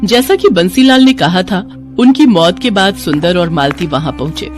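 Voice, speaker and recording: A woman's voice: lowish voice, normal speed, soft background music. A woman reading scripted narration for a moral story.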